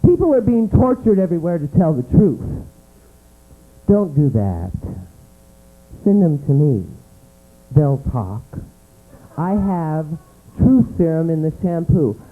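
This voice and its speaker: A man doing a stand-up comedy set in a small club, with a campy, dramatic delivery that drops into a low voice for effect. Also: very animated, slow, pauses often. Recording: tinny lo-fi live recording with mains hum.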